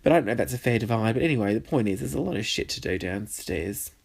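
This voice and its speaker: A man's voice: quick pace, creaky, slightly rough. Chatty, cheeky young man. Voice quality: nasal. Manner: chatty, cheeky and swearing, with lively pitch swings. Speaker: podcast host. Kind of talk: casual solo podcast chat. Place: bedroom.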